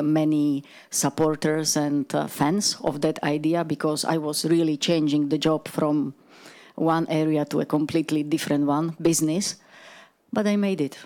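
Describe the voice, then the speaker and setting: Low-pitched Slovak woman, very even delivery. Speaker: corporate executive, panel speaker. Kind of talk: telling own career story on a panel.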